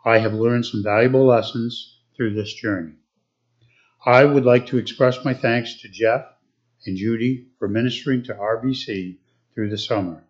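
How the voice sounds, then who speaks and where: A man reading a formal letter aloud to a church congregation in a steady way. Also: mid-range voice, moderate pace, lo-fi sound.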